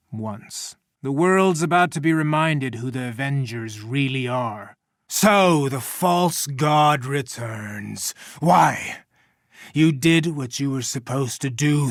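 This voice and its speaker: An actor playing a megalomaniac mutated scientist villain in a video game, menacing, theatrical and contemptuous. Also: male voice, mid-range voice, slow pace, no echo, clean studio sound. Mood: cold menace. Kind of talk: dramatic video game voice acting.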